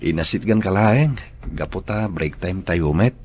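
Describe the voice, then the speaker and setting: A man acting a shy, earnest young office worker in love with a coworker, soft-spoken, in a radio drama. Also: low-pitched, animated, measured pace, breathy edge, heavily acted.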